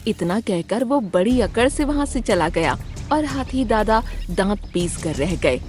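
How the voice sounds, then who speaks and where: Female storyteller for children's cartoon, very animated intonation, music bed. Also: mid-range voice, unhurried. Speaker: narrator. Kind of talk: scripted children's story narration.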